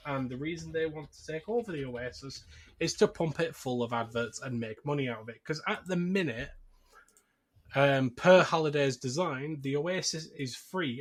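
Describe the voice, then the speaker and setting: Man explaining films in casual podcast chat. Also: on the high side. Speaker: podcast host.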